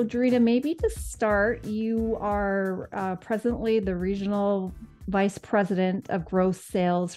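A woman's voice: on the low side, warm tone. A woman co-hosting a podcast, asking a guest questions in an easygoing way with small hesitations. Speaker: podcast co-host. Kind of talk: interview questions.

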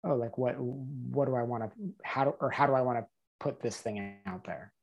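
A man's voice: medium pitch, normal speed, creaky, muffled recording. A man interviewing a guest in a casual chat. He hesitates a lot, restarts his sentences and fills them with "like". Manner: hesitant, many restarts and fillers.

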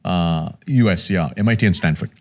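Deep-voiced Sri Lankan-born man in his fifties. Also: moderate pace, gravelly, darkish tone. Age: 50s. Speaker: podcast guest, investor. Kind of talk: candid conversational storytelling.

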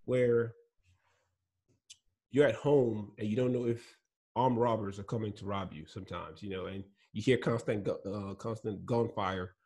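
A man's voice: fairly low pitch, fairly even intonation, a little slow, darkish tone, slightly rough. A man from Nigeria whose first language is Igbo, telling his story as a guest in an earnest, easygoing way and laughing between points. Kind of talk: casual interview talk.

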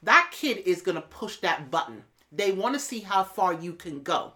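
A woman's voice: on the low side, sing-song, moderate pace, pushed and bright. A woman in a candid conversation, arguing her point assertively and firmly. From Jamaican family background. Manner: assertive, argues her point, quotes her mother.

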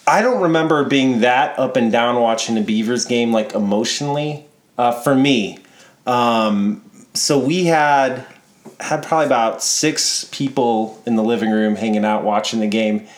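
Man, first language Polish, mid-pitched, easygoing sports chat, echoey room. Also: unhurried. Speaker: podcast co-host.

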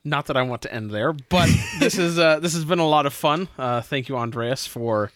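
A regular guy hosting a hobby podcast from the player's side of the game table, mid-pitched and close-miked. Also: lively intonation, moderate pace, no room sound. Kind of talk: casual chat.

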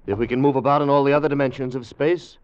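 An actor playing a confident, driven scientist inventor in a 1950s radio drama, speaking with assured, dramatic emphasis. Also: male voice, mid-range voice, steady tone, rapid-fire, clean tone, close to an everyday voice. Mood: insistent, assured. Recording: muffled old radio broadcast, faint noise.

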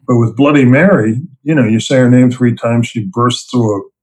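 A man's voice: medium pitch, moderate pace, a little mellow, poor audio. A middle-aged man from New Jersey, an interview guest who tells rambling, self-deprecating stories about his work and chuckles often. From Princeton, New Jersey, US. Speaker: interview guest, theme park event writer and director. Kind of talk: casual storytelling interview.

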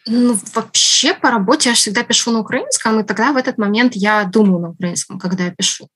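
A woman's voice: fairly high pitch, a little fast, a bit bright, breathy edge. A woman guest on a podcast, chatting casually and laughing often as she talks, with many restarts along the way. Russian is her first language. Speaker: podcast guest, writer and journalist.

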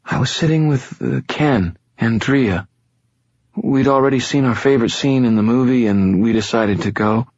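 A man voicing a frightened, injured pregnant young woman in an audiobook, tearful and halting, with a breathy voice. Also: medium pitch, a little mellow, pitched above the performer's own voice, close to an everyday voice. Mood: shaken. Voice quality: breathy, whispering. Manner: halting, trembling. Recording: dull, roomy recording.

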